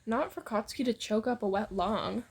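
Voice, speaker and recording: A woman's voice: fairly high pitch, normal speed, darkish tone, breathy edge. A woman casually reading a fan fiction story aloud as narrator.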